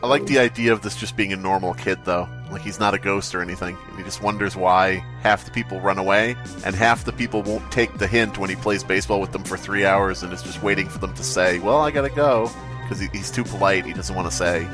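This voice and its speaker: A man hosting a podcast, rambling along in casual, animated comedic chat. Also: sits low, fast, hardly any pauses, over music. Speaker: podcast host.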